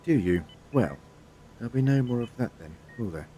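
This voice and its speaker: An actor playing a wry, calm, authoritative adventurer in an audio drama, speaking in a measured, gently probing way. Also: male voice, medium pitch, slight background noise, natural voice. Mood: wry, reassuring.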